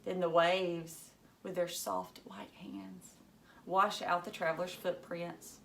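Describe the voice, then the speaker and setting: A woman teacher reading a story aloud to children in an expressive way, emphasizing key words. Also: on the low side, takes it slowly, vocal fry, slight background noise.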